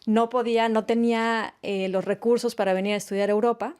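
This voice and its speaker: A woman's voice: on the high side, little pitch movement, fairly quick, smooth. A woman in her late forties with a Mexican accent, a fashion designer answering interview questions in a radio studio and telling stories in a conversational way. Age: late 40s. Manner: warm storytelling, frequent tag questions.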